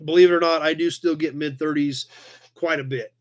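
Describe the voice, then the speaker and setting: A man's voice: fairly high pitch, fairly even intonation, a little fast. An American man in his forties hosting a live chat, talking casually and conversationally as he reads and answers viewer comments. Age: 40s. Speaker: live chat show host.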